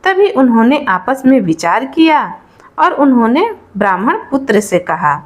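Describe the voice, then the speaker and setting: A woman's voice: a little high, big pitch swings, moderate pace. A woman narrating a devotional folk tale in an informal oral storytelling style, explaining and rephrasing as she goes.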